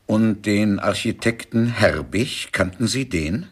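A man's voice: on the low side, expressive, takes it slowly, exaggerated voice. An actor playing a grumbling, sardonic police detective in a German radio crime drama, peppering his speech with Italian. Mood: probing. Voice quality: gravelly, husky. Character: grumbling, impatient police detective in Rome, male.

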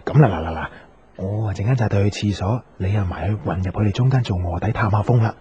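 An actor with a Hong Kong Cantonese accent playing a gossipy, campy, meddling friend in a radio drama. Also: male voice, on the low side, quick pace, mellow, lightly acted. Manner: chatty, quirky, gossipy. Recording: dull, noisy radio drama recording.

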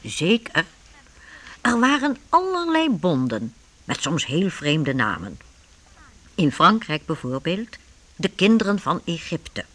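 Casting: storyteller for a historical radio play, unhurried, with lively rising and falling pitch. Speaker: narrator. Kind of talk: scripted storytelling narration for radio drama.

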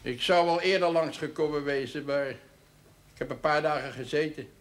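An actor playing a rough, hot-tempered drinker who talks bluntly and colloquially in a television drama. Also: male voice, on the high side, steady tone, normal speed, natural voice. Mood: gruff, candid. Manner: blunt, plain-spoken, colloquial. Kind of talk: 1970s Dutch television drama acting.

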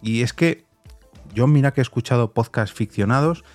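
A man's voice: medium pitch, moderate pace, darkish tone, soft background music. A man with a Castilian Spanish accent hosting a conversational interview, informal and reflective, repeating phrases for emphasis. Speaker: podcast host, interviewer.

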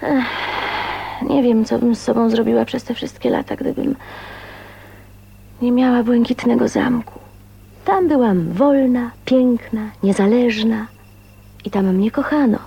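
A woman's voice: fairly high pitch, a little slow, long pauses, warm tone, lo-fi sound, heavily acted. An actress playing a shy, wistful woman of twenty-nine in love, speaking intimately and hesitantly in a radio drama. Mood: bitter, wistful confession. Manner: dreamy, emotional, hesitant phrasing with breathy whispers. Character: shy, dreamy 29-year-old spinster, wistful and newly in love. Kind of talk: radio drama acting, intimate monologue and dialogue. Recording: faint noise, 1980s radio drama.

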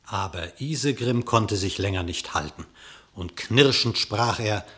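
A man narrating an epic verse tale for a radio play, measured and solemn. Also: lowish voice, a bit bright.

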